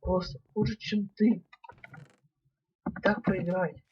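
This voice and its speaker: A young man casually commenting on a game he plays, slightly ill and clearing his throat, mostly muttering to himself. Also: sits high, slow. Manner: mutters to himself while playing, then talks excitedly to viewers. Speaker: gaming channel host. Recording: dull lo-fi mic.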